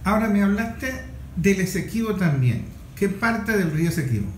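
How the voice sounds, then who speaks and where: A man with a Venezuelan accent talks in a fairly high voice as a discussion host. His delivery is reflective and conversational, halting at times. He is recorded in an echoey room with faint noise behind him. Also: expressive.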